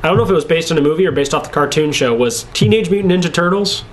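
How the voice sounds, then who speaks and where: A man's voice: fairly high pitch, racing. A man co-hosting an entertainment show in casual back-and-forth banter. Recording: clearly noisy room recording.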